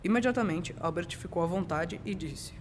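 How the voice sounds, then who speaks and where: A man's voice: high voice, moderate pace, a little hiss or hum. A young teenage boy with a Brazilian accent reading scripted narration in a 1940s-style school radio drama.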